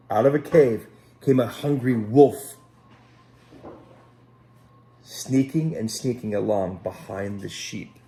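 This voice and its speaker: A man reading a children's story aloud in an expressive, dramatic way, pausing to speak directly to young listeners. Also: fairly low pitch. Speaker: Sunday school storyteller. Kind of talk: animated children's story read aloud with asides. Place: at home.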